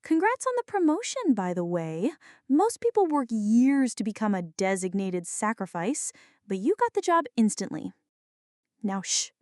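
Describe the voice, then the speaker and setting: A woman's voice: on the high side, sing-song, normal speed, a little mellow, dry recording, clean studio sound. A woman acting a jumpy, flirty, dramatic friend in an ASMR roleplay, talking to the listener in a teasing, mock-indignant way. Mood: playfully bossy.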